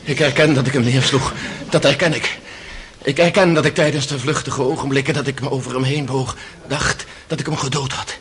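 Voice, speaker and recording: A man's voice: mid-range voice, steady tone, brisk, lightly acted. An actor playing an anguished, passionate accused son in a radio play, telling his story with dramatic intensity. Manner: intense, dramatic storytelling, swinging from hushed confession to outburst.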